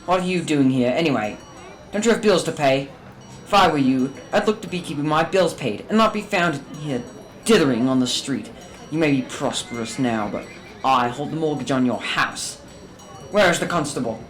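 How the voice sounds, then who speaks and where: A man's voice: on the high side, expressive, moderate pace, a bit bright, soft background music. A man playing a crotchety, scolding old miser businessman in a radio drama. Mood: irritated, scolding. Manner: scolding, indignant, barking demands. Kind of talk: stage-like radio drama acting.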